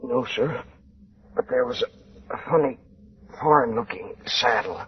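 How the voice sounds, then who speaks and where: An actor playing a weak, shaken scout, gasping out a report in 1940s radio style. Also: male voice, medium pitch, huge pitch swings, unhurried, vocal fry, breathy edge, heavily acted. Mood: faltering. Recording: dull, distant-sounding 1940s radio recording.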